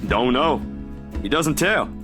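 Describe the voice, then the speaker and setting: A man's voice: medium pitch, expressive, slow. A male actor playing an easygoing, protective young best friend in a visual novel, with a casual, caring manner. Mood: casual, amused. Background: guitar music.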